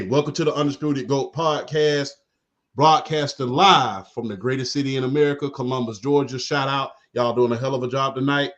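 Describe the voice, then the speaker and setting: A man hosting a casual sports podcast, laid-back and saying "man" after nearly every phrase. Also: mid-range voice. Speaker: podcast host. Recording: dull lo-fi sound.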